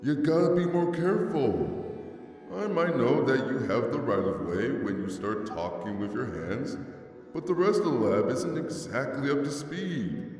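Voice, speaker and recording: A man's voice: medium pitch, lively intonation, a little mellow, lightly acted. A man voicing a laid-back skeleton lab intern in a comic dub, wry and casual in manner. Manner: slow, casual, wry, hesitant drawl. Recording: echoey hall-like reverb, distant, faint music.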